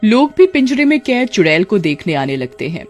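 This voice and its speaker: A woman's voice: medium pitch, big pitch swings, fairly quick, a little mellow, faint music behind. A woman narrating a scripted story.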